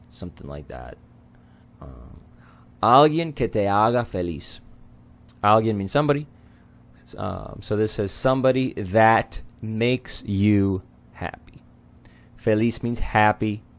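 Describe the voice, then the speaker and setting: A man with a fairly low voice talks slowly through song lyrics, translating them word by word. Spanish is not his first language, and he keeps a relaxed, conversational tone full of small fillers. His pace stays unhurried throughout. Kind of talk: casual lyric translation lesson.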